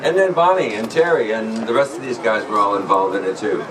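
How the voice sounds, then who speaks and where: Male ex-DJ, sixties, earnest and appreciative, speaking at a live event, distant miking with clear background noise. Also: lowish voice, a little fast. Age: 60s. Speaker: former radio disc jockey. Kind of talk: reminiscing to a live audience. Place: live event room with audience. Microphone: event microphone, recorded from a distance. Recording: distant mic in noisy room.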